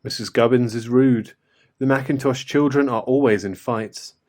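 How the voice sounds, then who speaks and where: A man's voice: medium pitch, steady tone, normal speed, darkish tone, lightly acted. A man voicing a wise, friendly talking cat in a children's storybook, kindly explaining.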